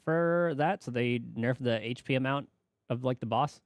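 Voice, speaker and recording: A man's voice: mid-range voice, normal speed. A man streaming games, chatting casually and thinking aloud in a tentative, rambling way on a close microphone. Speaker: game streamer. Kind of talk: casual livestream chat about game mechanics.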